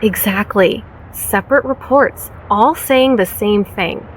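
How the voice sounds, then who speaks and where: An actress playing a serious, weary small-town sheriff in an audio drama, measured and authoritative. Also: female voice, medium pitch, natural voice, poor audio. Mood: thoughtful, serious. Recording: faint vehicle ambience noise.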